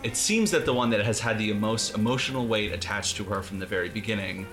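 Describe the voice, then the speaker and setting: A man giving casual commentary, speaking in an amused, halting way. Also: on the low side, fairly bright tone. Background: music.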